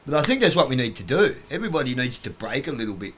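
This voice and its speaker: A man's voice: medium pitch, sing-song, a bit bright, a little hiss or hum. Guy ranting off the couch, preachy and blunt, mid-range voice, trips over words. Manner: lecturing, blunt, rambling, trails off mid-word; quick to laugh at himself. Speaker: vlogger. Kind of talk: rambling preachy monologue. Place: home, on a couch.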